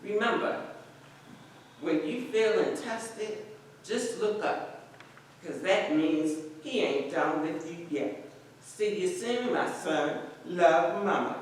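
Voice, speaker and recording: A woman's voice: on the low side, animated. Proud, loving Southern mother played by a woman, folksy stage reading, leisurely delivery, cavernous sound with faint noise. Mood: tender, proud. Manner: slow, tender, folksy. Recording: very echoey room, distant mic, faint noise.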